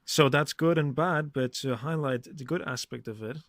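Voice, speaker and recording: Man in measured, reflective solo monologue, close and dry. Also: medium pitch, fairly bright tone, dry recording. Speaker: podcast host. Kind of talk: reflective solo podcast monologue with poem reading.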